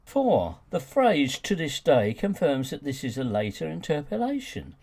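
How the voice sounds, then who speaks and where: A man reading his own argumentative nonfiction audiobook as scripted narration, with an emphatic, rhetorical delivery and a gravelly, husky voice. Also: a little high, huge pitch swings, a little slow, a little mellow.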